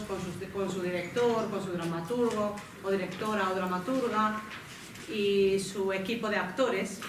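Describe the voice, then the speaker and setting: Finnish woman speaking haltingly in an echoey room. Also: medium pitch. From Finland. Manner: hesitant, searching for words, self-correcting. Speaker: moderator. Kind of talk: panel talk to an audience. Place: lecture room. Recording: echoey room, mic at a distance, noisy.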